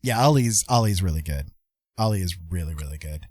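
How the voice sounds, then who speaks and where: A man's voice: sits low, animated, a little fast, darkish tone, husky, no echo, clean studio sound. A middle-aged man chatting casually as a livestream host, rambling and emphatic, often repeating his phrases.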